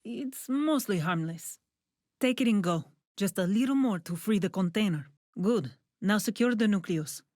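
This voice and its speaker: A woman playing a composed female scientist who guides a mission in a video game, giving measured, businesslike instructions. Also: medium pitch, lively intonation, a little mellow, no echo, clean studio sound, natural voice. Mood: encouraging. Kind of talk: scripted video game voice lines. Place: dry studio.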